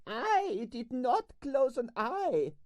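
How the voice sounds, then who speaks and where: A man voicing a vain, bossy older Russian ballerina in a storybook, with a Russian accent, shrill falsetto and dramatic broken English. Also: very animated, very slow, darkish tone, no echo, clean studio sound, heavily acted. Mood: imperious, dramatic.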